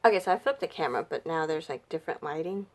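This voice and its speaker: A woman makeup vlogger chatting casually and thinking aloud, her voice a little raspy and stuffy from a cold. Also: sits low, expressive, fast talker. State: slightly raspy, congested from a cold. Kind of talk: casual makeup swatch review chat.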